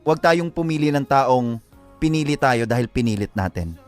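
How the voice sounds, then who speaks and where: A man's voice: medium pitch, animated, normal speed, faint music behind. A Filipino man co-hosting a live show, chatting casually and giving earnest love advice, repeating phrases for emphasis. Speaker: radio show co-host giving advice to letter senders.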